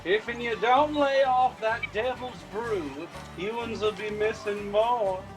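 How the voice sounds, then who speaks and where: High, slow, scolding mountain preacher. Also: male voice, steady tone, over music, rough recording. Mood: stern. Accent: Appalachian. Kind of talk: radio drama acting.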